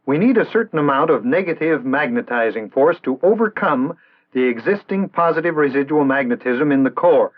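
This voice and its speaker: An American man reading scripted instructional narration in a measured, formal, didactic way, in the style of an early 1960s training film. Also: fairly high pitch, animated. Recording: tinny band-limited vintage 1960s film soundtrack.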